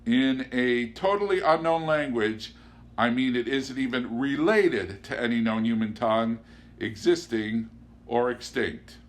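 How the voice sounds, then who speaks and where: A man's voice: mid-range voice, a little slow, natural voice. A man voicing an eccentric, grandly poetic man in an audiobook, declaiming and drawing out key words. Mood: mysterious, declaiming. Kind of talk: audiobook character dialogue. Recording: clear background noise with hum.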